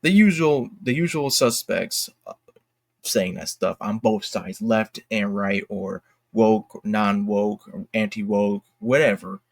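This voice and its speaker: A man hosting an online commentary video, speaking off the cuff from notes in a halting, self-correcting way. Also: lowish voice, gravelly, no echo, studio quality. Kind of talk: unscripted opinion commentary from notes.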